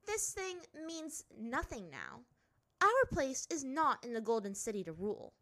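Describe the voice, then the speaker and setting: A bossy, smug teenage girl of royal blood, played by a woman reading aloud, talks bluntly in a high voice. Her brisk, superior delivery swings widely in pitch. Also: sing-song, fairly bright tone, heavily acted. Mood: dismissive.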